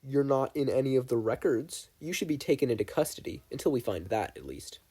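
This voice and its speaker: A man's voice: mid-range voice, animated, brisk, dark tone, husky. A man playing a suspicious, official-sounding government agent in a story reading. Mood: stern. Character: stern, suspicious government agents giving orders. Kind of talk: acted dialogue in story reading.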